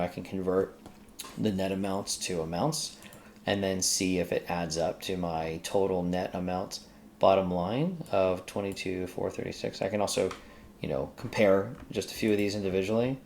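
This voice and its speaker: A man giving a casual coding walkthrough, thinking aloud in a halting way as he works. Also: sits low, gravelly, a little mellow, a little hiss or hum. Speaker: tutorial host, accountant who codes.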